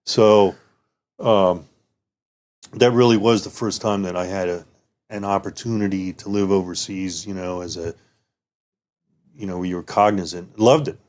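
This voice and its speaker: Rambling low-voiced retired officer, a man. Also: steady tone, a little slow, long pauses, darkish tone. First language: German. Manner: rambling, many restarts and "you know"s. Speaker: retired military officer guest. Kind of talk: casual interview reminiscing.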